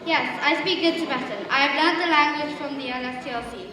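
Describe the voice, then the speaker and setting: Polite female interpreter, played by an amateur actress, high voice, formal, stage play, distant in an echoing hall. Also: brisk, close to an everyday voice. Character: polite, helpful female translator from an agency. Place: large echoey indoor hall, far from the mic. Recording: distant, very echoey hall with audience noise.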